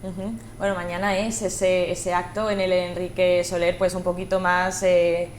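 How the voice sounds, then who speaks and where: A woman's voice: fairly low pitch, level tone, normal speed, roomy sound, a little hiss or hum. A woman interviewing guests on local TV, asking hesitant questions full of fillers. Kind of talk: TV studio interview.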